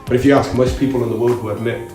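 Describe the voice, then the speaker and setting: A man, a hype man and DJ talking earnestly, with pauses and fillers, on a podcast. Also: medium pitch, little pitch movement, darkish tone. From New Zealand. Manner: earnest, reflective, many fillers. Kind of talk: casual podcast chat. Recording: roomy room with music underneath.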